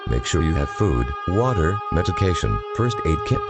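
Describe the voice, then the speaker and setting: A very low male voice delivers a formal emergency broadcast in a stilted, text-to-speech manner. The pitch moves up and down widely within the scripted phrasing, and loud music runs underneath. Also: sing-song, moderate pace. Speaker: emergency alert announcer.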